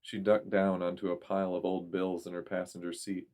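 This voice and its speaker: A man's voice: sits low, little pitch movement, fairly quick, a little mellow. A man reading a story aloud as a dramatic audiobook narrator.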